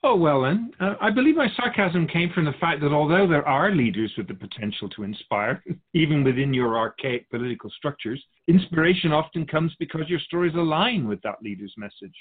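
A man plays an otherworldly spirit guide in a radio drama, sardonic and pedantic. His pitch swings widely as he needles humanity with amused superiority. The recording is slightly dulled. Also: lively intonation, brisk, few pauses, slightly rough, phone-quality sound. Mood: wry, lecturing. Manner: sarcastic, chuckling, pedantic. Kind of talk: scripted radio conversation.

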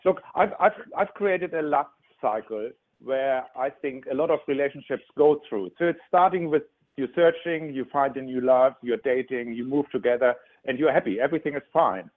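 A man's voice: medium pitch, normal speed. German-accented man over a phone line. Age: 60s. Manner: earnest, explanatory, frequent stutter-restarts. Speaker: relationship expert guest. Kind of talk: interview answers. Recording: phone-like narrow sound.

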